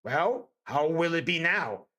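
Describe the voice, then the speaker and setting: A woman's voice: low voice, expressive, slow pace, fairly bright tone, exaggerated voice. A woman voicing a bitter, sombre young man in an audiobook, asking terse, pointed questions. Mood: probing, grim. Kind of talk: dramatised audiobook character dialogue.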